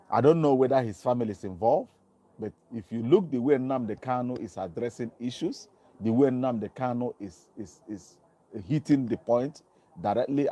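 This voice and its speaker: A man's voice: fairly low pitch, warm tone. A man hosting an online TV talk, speaking casually into a phone and earphone mic with lots of ums and restarts. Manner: rambling, many ums and restarts. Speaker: online TV host. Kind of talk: casual livestream commentary. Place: outdoors in Germany. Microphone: mobile phone with earphone mic.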